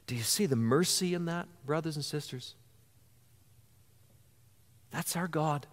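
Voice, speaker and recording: A man's voice: a little high, unhurried. A man preaching a sermon as pastor, earnest, posing rhetorical questions to the congregation. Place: church sanctuary. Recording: church hall reverb.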